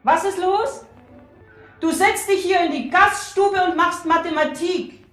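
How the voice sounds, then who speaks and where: A woman's voice: extremely high pitch, a little slow, a bit bright, reverberant, faint music behind. A woman acting a stern, bossy village pub landlady and impatient mother in a German radio play. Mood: scolding.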